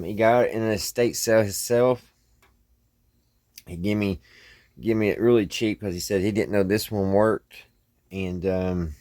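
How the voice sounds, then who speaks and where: A man's voice: lowish voice, darkish tone. A man hosting a casual hands-on vlog, talking to viewers in a folksy way and thinking aloud as he works. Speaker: vlog host.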